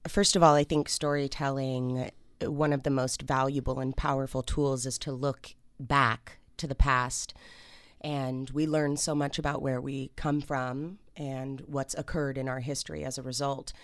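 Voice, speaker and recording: A woman speaks earnestly and in measured statements, as an actor in an interview. Her voice is smooth and resonant, with very little room sound. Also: a bass voice, level tone, dry recording. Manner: earnest, declarative. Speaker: stage actor interviewed about a play. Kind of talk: considered interview answer.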